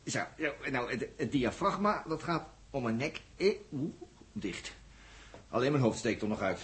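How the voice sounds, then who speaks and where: An actor playing a chatty, jokey, excitable astronaut in halting, informal 1960s radio drama style. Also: male voice, medium pitch, lightly acted. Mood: breezy, instructive. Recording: noisy vintage 1960s radio recording.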